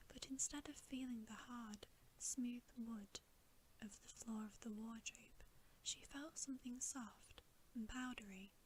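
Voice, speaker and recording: A woman reading a bedtime story as ASMR in a soft, breathy whisper, gentle and soothing, close to the microphone. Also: a little high, a little slow, slight background noise, poor audio.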